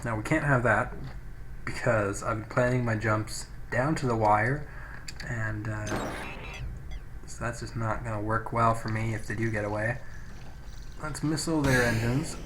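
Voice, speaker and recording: A man giving casual, sweary video game commentary as a let's play host, thinking out loud, recorded on a poor-quality microphone. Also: fairly low pitch, steady tone, a little slow, long pauses. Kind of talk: casual gameplay commentary with read-out game text. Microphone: low-quality home microphone. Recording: noisy cheap microphone, poor audio.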